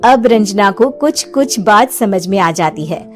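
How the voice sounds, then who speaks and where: A woman storyteller giving an expressive, scripted narration of a dramatic tale. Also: medium pitch, measured pace, soft background music.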